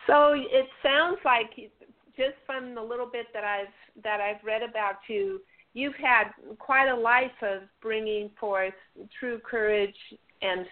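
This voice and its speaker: A woman hosting an interview radio show, introducing the program and her guest. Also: a little high. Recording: narrow tinny phone-line sound.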